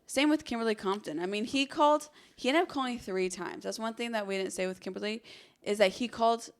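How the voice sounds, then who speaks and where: A woman's voice: on the high side, brisk. A woman co-hosting a true-crime podcast, telling the case in a casual, conversational way peppered with "like". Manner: casual, frequent "like" as hedging.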